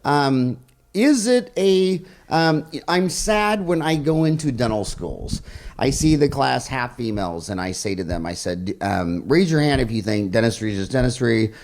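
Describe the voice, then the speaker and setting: A man hosting a conversational podcast interview at home, speaking haltingly, repeating phrases and telling anecdotes. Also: mid-range voice, expressive, darkish tone. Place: dining room at home.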